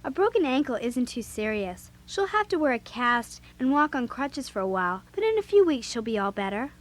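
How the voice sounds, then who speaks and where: A woman playing an earnest, bossy young girl babysitter in an audio drama, with an expressive, youthful way of talking. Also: a little high, a little fast. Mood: earnest, reassuring. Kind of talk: audio drama acting and first-person narration.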